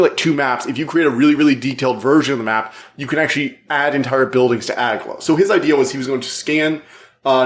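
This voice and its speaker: Slightly hoarse man reviewing a book. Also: mid-range voice. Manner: rambling, restarts thoughts, self-deprecating. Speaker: podcast host and book reviewer. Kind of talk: casual spoiler review monologue.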